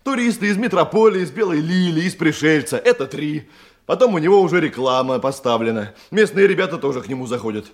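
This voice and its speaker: A man's voice: a little high, animated, quick pace, close to an everyday voice. A man in a Russian radio drama playing an evasive, servile criminal underling who hesitates, stammers and stalls. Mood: nervous, hedging. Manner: hesitant, stammering, repeats questions back.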